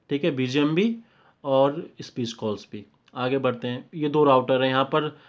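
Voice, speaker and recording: Higher-voiced Indian man tutoring, dull lo-fi sound. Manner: explanatory, repetitive, often checking in with short confirmations; mixes in English technical terms. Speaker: technical trainer. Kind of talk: informal step-by-step technical tutorial.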